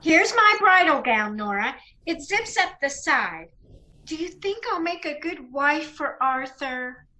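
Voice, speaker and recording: Lady doing super high girlish american newlywed, bright n piercing, scared naive type, old radio play. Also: lively intonation, measured pace, exaggerated voice. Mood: naive, eager. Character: frightened, naive, fretful young newlywed bride. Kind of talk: old-time radio comedy mystery acting.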